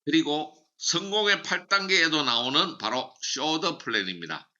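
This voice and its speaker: A man's voice: on the high side, lively intonation, moderate pace, bright, forceful tone, dull audio. A man giving a motivational sales training lecture, emphatic and repeating key slogans. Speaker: seminar speaker for a sales business.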